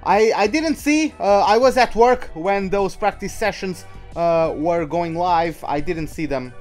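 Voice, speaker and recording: A man's voice: sits high, a little slow, a little mellow, faint music behind. A man giving casual, chatty commentary as he streams a racing game live. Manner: chatty, greets viewers by name mid-commentary. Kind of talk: casual livestream gaming commentary.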